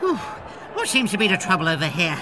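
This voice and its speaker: An actor playing a vague, hesitant referee in a comic fantasy audio drama. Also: male voice, sits high, wildly swooping pitch, fast. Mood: flustered. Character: dithering, indifferent male fantasy referee. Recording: crowd and outdoor match noise.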